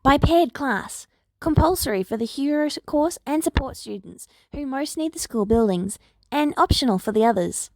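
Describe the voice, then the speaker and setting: A woman's voice: sits high, lively intonation, normal speed, no room sound, pitched above the performer's own voice. A woman voicing an earnest, eager older girl, a polite and persuasive hero student in a story.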